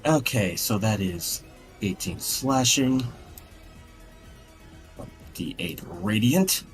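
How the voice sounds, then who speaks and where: A man with a fairly low voice talks through his moves in a tabletop game. He is casual and blunt, and faint music plays underneath. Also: expressive, takes it slowly.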